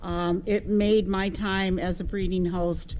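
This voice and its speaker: A woman's voice: on the low side, flat delivery, slow pace, a little mellow. An older woman, a retired volunteer, giving a hesitant short speech with many ums. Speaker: retired breeding host volunteer.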